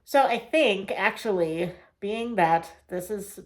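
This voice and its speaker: A woman vlogging a casual reading wrap-up, chatty and rambling with fillers and small laughs, her voice getting a little raspy. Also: medium pitch, slow pace. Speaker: book vlogger.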